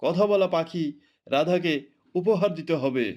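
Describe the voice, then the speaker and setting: A man's voice: on the high side, a little slow, a little mellow, highs cut off, lightly acted. A man playing a devoted, indulgent king in a cartoon, speaking in a coaxing, regal way. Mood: commanding. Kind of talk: cartoon voice acting.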